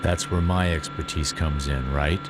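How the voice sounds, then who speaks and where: A man's voice: extremely low pitch, fairly even intonation, unhurried, very noisy. A man playing a cold, stoic commando in a video game, speaking in terse, curt lines. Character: cold, stoic young male commando on assault missions. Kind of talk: video game cutscene acting. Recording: loud music and effects behind.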